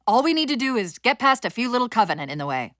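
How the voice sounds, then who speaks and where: Female military ai sidekick in a video game, highish animated voice, brisk n wry, crisp tactical orders, dry studio sound. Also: no echo. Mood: brisk, focused. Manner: crisp commands and tactical briefings.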